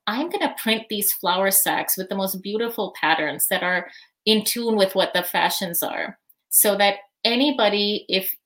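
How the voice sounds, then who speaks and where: Thoughtful woman guest, easy laughs. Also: mid-range voice. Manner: thoughtful, warm, laughs easily, frequent fillers. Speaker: podcast guest. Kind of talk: casual interview answers.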